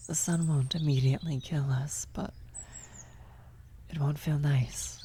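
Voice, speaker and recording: A woman playing a worried, tender vampire sorceress in an ASMR fantasy roleplay, speaking softly and haltingly, often near a whisper. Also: low voice, fairly even intonation, a little hiss or hum. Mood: gentle, guiding. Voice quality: soft, breathy, often slipping into whisper. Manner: halting, hesitant, gentle. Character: worried female vampire blood sorcerer, tender, guilty lover. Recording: outdoor birdsong and ambience behind. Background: birdsong, nature ambience effects.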